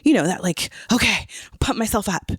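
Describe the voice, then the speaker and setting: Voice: medium pitch, expressive, normal speed, fairly bright tone, breathy edge, dry recording, studio quality. A podcast co-host chatting casually, explaining song lyrics and often checking that the listener follows. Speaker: teacher.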